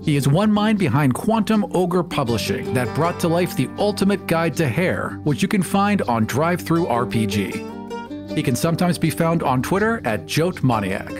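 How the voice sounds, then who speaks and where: A man's voice: on the high side, sing-song, moderate pace, music in the background. A man hosting a podcast, giving introductions and chatting with his fellow speakers. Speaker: podcast host, writer and producer.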